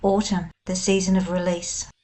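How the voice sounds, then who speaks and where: A woman guiding a scripted meditation in a gentle, soothing, unhurried manner. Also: on the low side, level tone, low-quality recording. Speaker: meditation guide. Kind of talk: scripted guided meditation narration.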